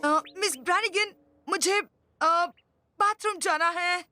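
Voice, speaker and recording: An actor in a Hindi cartoon dub playing an excitable, determined young boy hero, urgent and earnest. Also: very high-pitched, slow pace, soft background music, heavily acted. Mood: hesitant. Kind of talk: Hindi-dubbed cartoon acting.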